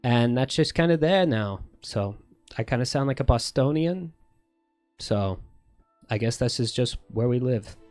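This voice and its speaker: Slow, rambling man with a slight lisp. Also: medium pitch, expressive, a little mellow, no echo, clean studio sound, soft background music. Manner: rambling, profane, self-correcting. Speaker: streamer. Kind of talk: casual livestream chat.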